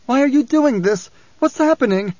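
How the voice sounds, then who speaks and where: Man voicing frantic high-pitched possessing spirits. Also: animated, moderate pace, no room sound, highs cut off. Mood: frantic, pleading. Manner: frantic, loud, crying out. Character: jumbled possessing voices pouring from a woman, cursing, pleading.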